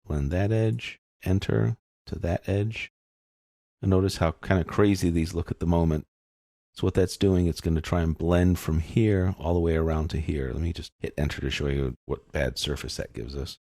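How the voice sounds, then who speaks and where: A man with a deep, creaky voice talks through an on-screen software task step by step. He sounds husky and is recorded dry. His delivery is informal and explanatory, as if thinking aloud while he works. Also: fairly even intonation, normal speed, no room sound. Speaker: software tutorial instructor. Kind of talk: unscripted step-by-step screen tutorial narration.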